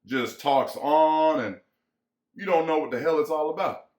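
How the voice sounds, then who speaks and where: A man's voice: a little high, a little slow, a little mellow, natural voice. A man acting as bitter, lonely American ranch hand, echoey. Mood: probing. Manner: folksy rural vernacular, musing and pressing. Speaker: actor. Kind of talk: dramatic reading of a novel. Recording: echoey room.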